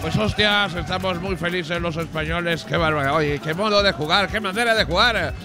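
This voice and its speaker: A man's voice: sits high, a little fast, over music, natural voice. A man playing a boisterous Spaniard from Barcelona, exclaiming, swearing and stumbling for words. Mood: jubilant.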